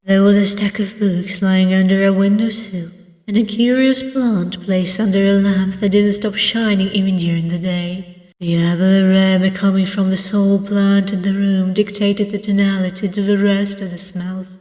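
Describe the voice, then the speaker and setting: A woman with a Russian accent reading first-person story narration from a game in a measured storybook manner. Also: mid-range voice, level tone, a little mellow. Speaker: let's play narrator. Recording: echoey, long reverb, distant mic.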